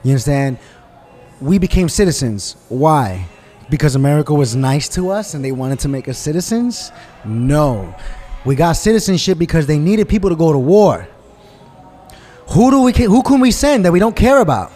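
A man's voice: a little high, sing-song, normal speed, mellow, faint music behind. A man from New York in a casual conversation, talking emphatically and often asking "you understand?" to check he is followed. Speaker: guest.

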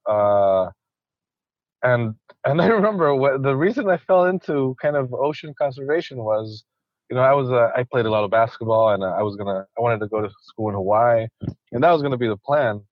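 A man's voice: medium pitch, lively intonation, brisk, no room sound, highs cut off. A man from Ecuador, a conservationist guest, talking casually in an interview with many restarts and repeated words.

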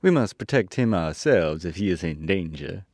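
A man voicing a calm, reassuring tin man in a storybook reading, close to his own low voice. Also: normal speed, no room sound.

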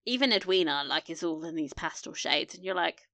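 A woman's voice: lowish voice, lively intonation, a little fast, sharp tone. A woman co-hosting a casual, chatty recap discussion, gushing and playful in slangy speech. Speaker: podcast co-host.

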